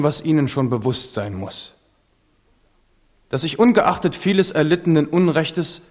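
An actor in a German radio play playing a proud, steadfast royal heir, speaking in an earnest, formal, declamatory way. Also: male voice, medium pitch. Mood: earnest, frank. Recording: reverberant hall.

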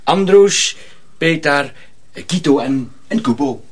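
An actor in a radio drama playing a good-natured, bumbling miller's apprentice who speaks haltingly. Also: male voice, a little high, animated. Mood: flustered. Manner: halting, hesitant.

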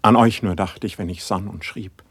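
A humble young court poet in a classical radio play. The voice is rather low and husky, recorded very dry. He declaims verse in a solemn, measured way, with fervent feeling. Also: steady tone, fairly bright tone, no echo, full character voice. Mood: devoted, exalted. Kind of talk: classical verse drama, stage-trained declamation.

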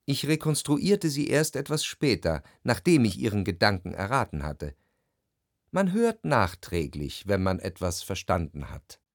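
An actor playing a jealous, introspective gentleman who narrates a literary radio drama in a reflective, intimate way, tender yet analytical. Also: lowish voice, big pitch swings, a little slow, no room sound, studio quality.

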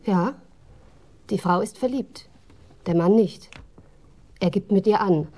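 A woman's voice: medium pitch, takes it slowly, mellow. An actress playing an observant, confident policewoman in a German radio crime drama, speaking in an assured, matter-of-fact way.

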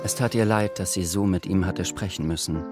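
A man narrates a literary German radio play. His voice is low and his delivery measured and dry, with gentle irony, over quiet background music. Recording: music under the voice.

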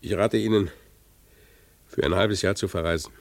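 An actor playing a stern, pompous older town notable with a formal, patronising way of talking, in a 1950s German radio drama. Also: male voice, low-pitched, moderate pace, no room sound. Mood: admonishing.

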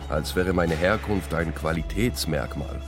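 A man's voice: low-pitched, measured pace, music in the background. A man from Israel narrating a scripted first-person radio piece. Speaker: narrator, theatre and radio play director.

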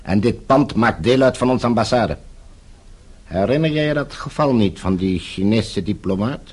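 Menacing villain, radio play, lowish husky voice, suave, smug, coolly threatening. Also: male voice, lively intonation, normal speed, a little mellow. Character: menacing, smug male foreign embassy villain.

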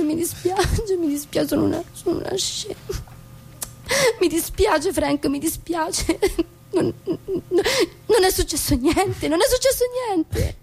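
An actress playing an anxious young wife and mother in a radio drama, emotional and given to halting repetitions. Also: sits high, darkish tone, slight background noise, full character voice. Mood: distraught, pleading. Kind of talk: stage-style radio drama acting.

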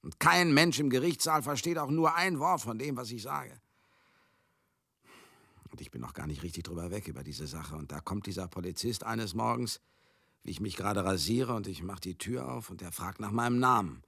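An actor in a German radio drama monologue, playing a garrulous, rough-mouthed showbusiness man, chatty, theatrical and excitable. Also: lowish voice, moderate pace, no echo, studio quality. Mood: agitated confiding. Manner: chatty, theatrical, swinging into outbursts.

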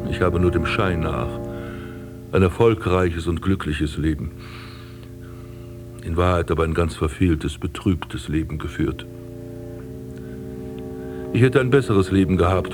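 An actor playing an embittered, melancholy aristocratic prince in a German radio drama, with theatrical, eloquent delivery. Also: male voice, low voice, unhurried, pauses often, music in the background. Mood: bitter, sorrowful. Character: embittered, melancholy aristocratic prince recalling his wasted life and burial.

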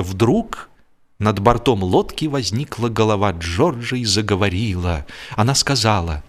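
A man narrating a humorous literary story as a radio actor, expressive and theatrical, drawing out words for emphasis. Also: lowish voice. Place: radio studio.

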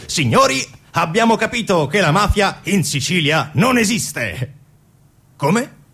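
A man's voice: sits high, very animated, unhurried, sharp tone, breathy edge, faint music behind, pitched above the performer's own voice, heavily acted. A man playing a pompous, blustering boss in a satirical stage play, speaking with heavy emphasis. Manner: pompous, emphatic, piling up adjectives. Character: pompous, blustering male boss making absurd plans.

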